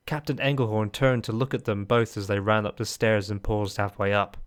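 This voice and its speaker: A man narrates a scripted story in the third person for an amateur audio drama. His voice is slightly low, clean and smooth. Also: fairly even intonation, brisk.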